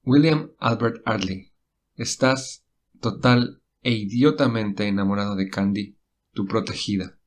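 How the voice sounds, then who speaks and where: A man's voice: on the low side, unhurried. Man acting as Albert, stern self-reproaching man. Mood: stern admission. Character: Albert, adult man scolding himself over forbidden love. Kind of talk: acted inner voice in audio story.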